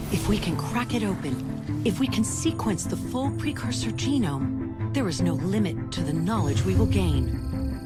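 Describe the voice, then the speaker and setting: A corporate science presenter narrates a promotional film inside a video game. She is polished and assured, with a mid-range voice that rises and falls expressively over loud music. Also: mid-range voice.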